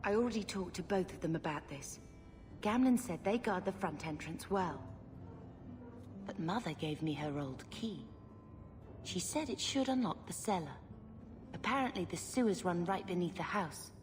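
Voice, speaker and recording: A woman's voice: fairly low pitch, expressive, moderate pace, natural voice. An actress playing an earnest, thoughtful young woman in a fantasy game. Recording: game audio with music, reverb.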